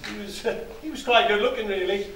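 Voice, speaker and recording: Bloke doing a cheeky panto village shopkeeper, blunt comic back-talk, noisy recording from way back in the hall. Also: male voice, high-pitched, fast talker, fairly bright tone. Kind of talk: live stage pantomime. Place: theatre stage. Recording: echoey noisy theatre, distant microphone.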